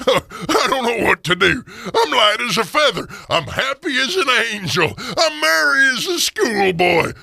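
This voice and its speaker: An old miser in a radio play, overwrought and exclamatory. The voice sits high and swoops widely, with a creaky edge. Every phrase is pushed with full emotional emphasis. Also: male voice, big pitch swings, measured pace, pushed and bright, no room sound, studio quality, full character voice. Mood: giddy joy. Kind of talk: radio theater acting.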